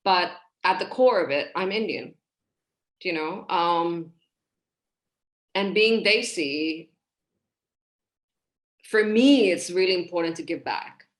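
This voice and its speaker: Expressive woman from Hong Kong on a video call. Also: fairly low pitch, slow, pauses often. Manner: warm, expressive, gestures while talking. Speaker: guest, relationship coach. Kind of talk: casual podcast interview over video call. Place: small room indoors.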